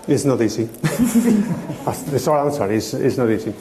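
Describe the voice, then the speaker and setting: A man's voice: medium pitch, big pitch swings, measured pace, warm tone, a little hiss or hum. A man speaking on a conference panel as an IT director, joking and adding "no?" to his points. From Spain. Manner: joking, repeats words, tag "no?".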